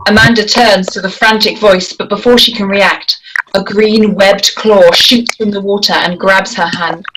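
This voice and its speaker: A woman serves as narrator, reading out scene descriptions from a script. Her voice sits at a middle pitch. There is faint noise in the recording. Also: steady tone, measured pace. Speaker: narrator reading stage directions.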